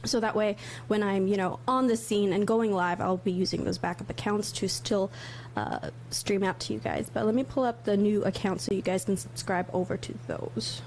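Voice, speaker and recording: Woman livestream host, mid-pitched, chatty and laughing, faint background hum. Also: normal speed, a little mellow, low-quality recording. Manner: chatty, laughs easily, thinks aloud. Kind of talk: casual livestream chat.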